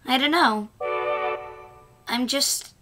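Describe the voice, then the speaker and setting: Woman voicing a puzzled calm youngster, unhurried, understated comic dub, music behind. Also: high-pitched.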